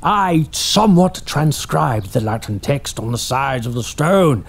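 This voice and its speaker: A man voices a domineering old scholar in an audio drama, gravelly and husky, his pitch swinging widely. He talks down to everyone with pompous cruelty, and faint music plays underneath. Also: animated, unhurried, exaggerated voice. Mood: imperious. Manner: pompous, cruel, bursts into manic shouting. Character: obsessed greedy old male archaeologist, tyrannical.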